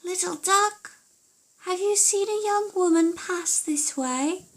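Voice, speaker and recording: A woman voicing a witch's dim, dutiful daughter in a storybook, with a drawn-out, plaintive manner. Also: very high-pitched, voice pushed up, full character voice. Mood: coaxing.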